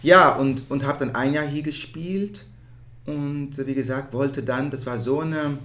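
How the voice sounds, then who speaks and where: A man from the Netherlands speaks German with a Dutch accent in a reflective interview, often halting to search for words in an animated way. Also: medium pitch. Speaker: interview guest, musical theatre performer.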